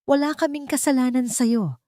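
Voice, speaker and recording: A narrator, a woman, puts on a haughty rich mother in a melodrama. Her voice is pushed higher, defiant and indignant with desperation underneath. The sound is close and dry. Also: moderate pace, warm tone, no echo, close to an everyday voice. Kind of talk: Tagalog melodrama story dialogue.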